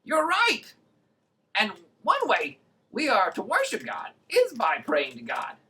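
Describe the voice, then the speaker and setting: Woman voicing a high, excitable puppet kid. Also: takes it slowly, exaggerated voice. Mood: earnest. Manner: exclamatory, earnest, simple explaining. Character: excitable young puppet kid named Tommy, cheerful teacher. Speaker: puppet co-host of a children's Bible show. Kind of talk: children's puppet show dialogue.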